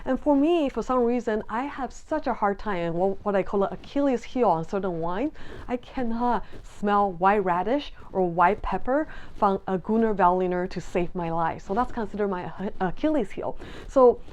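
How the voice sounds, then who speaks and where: A woman's voice: mid-range voice, expressive, moderate pace, darkish tone. Taiwanese-born woman explaining sommelier exams. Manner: friendly, earnest, with frequent ums and restarts. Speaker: sommelier vlogger. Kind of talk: casual explanatory talk to camera.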